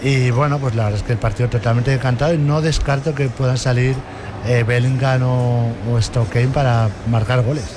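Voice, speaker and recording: A man's voice: medium pitch, steady tone, fairly quick. A man analysing a match off the cuff, hesitant and repeating words. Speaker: football pundit. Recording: clear background noise.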